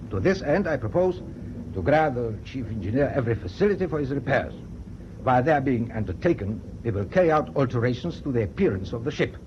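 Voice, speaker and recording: Dull noisy old radio recording, male actor as proud German navy captain, commanding, clipped, widely swinging pitch, resolute. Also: a little mellow, close to an everyday voice. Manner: clipped, formal, authoritative. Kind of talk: BBC radio drama acting.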